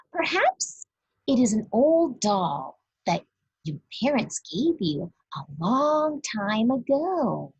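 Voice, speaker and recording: A woman reading a religious children's book aloud, with deliberate, emphatic phrasing and pauses. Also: fairly high pitch, breathy edge, rough recording. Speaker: children's story reader.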